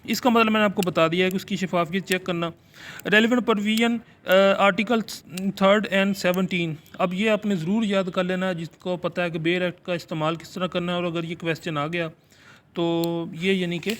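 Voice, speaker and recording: A man with a Pakistani accent and a high-pitched voice gives an informal lesson. He shifts between careful, halting reading of notes and looser explanation, like a teacher preparing students for an exam. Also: fairly even intonation, a bit bright. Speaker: law teacher. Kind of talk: informal exam lecture, explaining read-out English notes in Urdu.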